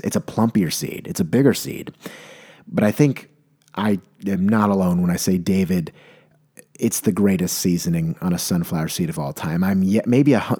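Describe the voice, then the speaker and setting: Mellow-voiced man rambling in mock-serious tones. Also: sits low, slightly rough. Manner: rambling, mock-serious storytelling with self-corrections. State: maybe congested. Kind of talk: comedic monologue.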